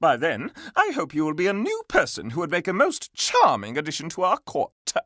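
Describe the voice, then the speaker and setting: A man's voice: a little high, huge pitch swings, moderate pace, bright, forceful tone, no room sound, clean studio sound, full character voice. A man voicing a pompous, gossip-mad living candelabrum in a video game, with grandiloquent, melodramatic courtly speech. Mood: grandly indignant. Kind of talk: theatrical video game character acting.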